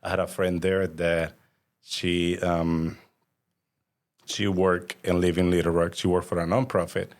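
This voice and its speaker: A man's voice: deep voice, level tone, unhurried. A man in his thirties from Honduras, a native Spanish speaker with a Honduran accent, chatting easygoingly as a podcast guest. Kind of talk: casual conversational storytelling.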